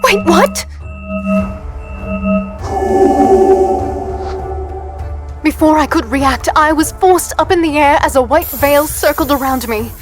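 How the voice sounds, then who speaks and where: A woman's voice: sits high, normal speed, soft background music, lightly acted. A woman playing an earnest human heroine, narrating her story in the first person with dramatic intensity for a fantasy audio drama. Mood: startled.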